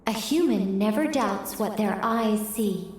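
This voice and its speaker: A woman voicing a composed, courteous mage in a video game, speaking in a measured, formal way. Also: mid-range voice, lively intonation, a little mellow, very echoey, natural voice. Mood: calm, explanatory.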